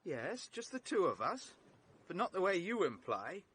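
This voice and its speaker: Flustered english gent, radio drama, breathy high voice that jumps around, earnest and indignant. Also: male voice, wildly swooping pitch, normal speed, a bit bright, heavily acted. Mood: subdued, defensive. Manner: agitated, indignant, exclaiming. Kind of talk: vintage British radio drama acting.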